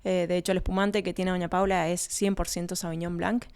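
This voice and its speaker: Clear-voiced Argentine woman in an interview. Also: fairly low pitch, flat delivery, brisk, darkish tone. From Argentina, born in Colombia. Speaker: winery research and communication manager, interview guest. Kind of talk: conversational interview answers.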